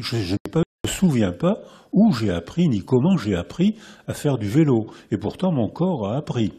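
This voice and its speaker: A man with a French accent giving a public lecture in a hall, lively and repetitive, often restarting his phrases. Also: mid-range voice, slightly rough. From France. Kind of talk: public lecture to a live audience.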